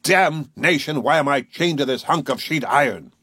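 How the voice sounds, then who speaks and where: A man voicing a blunt, boisterous male harpooner who talks loud and boastful. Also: on the high side, expressive, moderate pace, breathy edge, no echo, studio quality, pitched above the performer's own voice, heavily acted.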